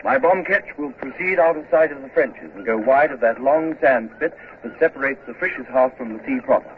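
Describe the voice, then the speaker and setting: An actor playing an earnest naval lieutenant in charge of a bomb ketch in a vintage radio drama, answering crisply and deferentially. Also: male voice, mid-range voice, moderate pace, close to an everyday voice. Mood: dutiful. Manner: deferential, crisp "yes, sir" replies. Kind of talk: 1950s radio drama acting. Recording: tinny noisy old radio recording.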